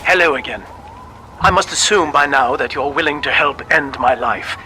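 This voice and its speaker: A man's voice: on the high side, sharp tone. Refined, weary male scientist, noisy backdrop. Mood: courteous, weary. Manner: formal, measured, polite. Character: doomed male scientist, refined, weary, courteous, resigned to death. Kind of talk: recorded message in a video game.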